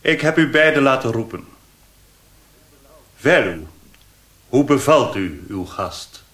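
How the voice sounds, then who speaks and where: Commanding, measured male ruler. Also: medium pitch, big pitch swings, fairly bright tone. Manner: measured, authoritative. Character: commanding, courteous sovereign ruler of a city. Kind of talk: radio drama acting.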